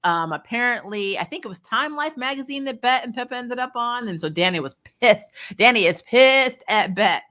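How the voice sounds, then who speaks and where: Informal woman reviewer with bright voice. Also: fairly high pitch, expressive, moderate pace, hardly any pauses. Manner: informal, slangy, chuckles often. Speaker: host of a TV episode review show. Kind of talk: casual recap and commentary.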